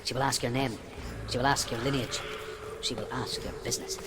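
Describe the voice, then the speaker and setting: A man playing a grave storyteller of old myths in a video game, measured and ominous, phrases trailing into hush. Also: medium pitch, fairly even intonation, close to an everyday voice. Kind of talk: solemn scripted mythic storytelling in a game. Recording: loud ambient noise behind the voice.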